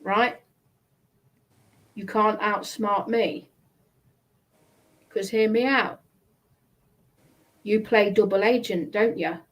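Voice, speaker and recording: A woman's voice: medium pitch, fairly even intonation, slow, long pauses. A woman live streamer delivering a confrontational monologue in an accusatory, emphatic, repetitive manner.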